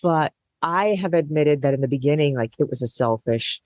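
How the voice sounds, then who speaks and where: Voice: medium pitch, normal speed, dry recording. An interview guest who talks reflectively, thinking aloud and acting out what they used to think. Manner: reflective, thinks aloud, acts out past thoughts. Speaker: guest, business co-founder. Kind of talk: conversational interview.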